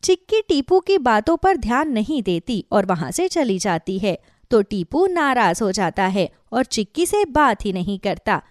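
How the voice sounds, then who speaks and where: A woman's voice: fairly high pitch, no echo, studio quality. A woman narrating a scripted children's cartoon story.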